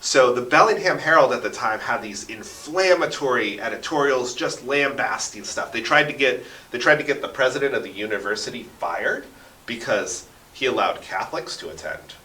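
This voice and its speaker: Man, bright forceful voice, medium pitch, emphatic conversational storyteller, echoey studio, a bit off the mic. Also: expressive, moderate pace, far from the mic. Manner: emphatic, often checks in with "right?". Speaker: interview guest, radio drama producer and local history enthusiast. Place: radio studio. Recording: roomy, echoing, away from the mic.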